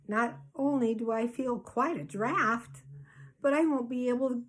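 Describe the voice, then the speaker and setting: A woman voicing a sweet young girl ghost who is eager and easily tearful, speaking high and tremulous. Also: moderate pace, darkish tone, natural voice.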